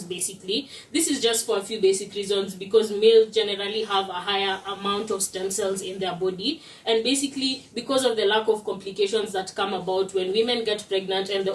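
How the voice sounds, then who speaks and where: A young woman from Kenya, explaining a student project to an audience in a steady way. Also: medium pitch, slight background noise. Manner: explanatory, steady, uses "basically" as a filler. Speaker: student presenter. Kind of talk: presentation. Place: lecture room. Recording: roomy echo, distant mic.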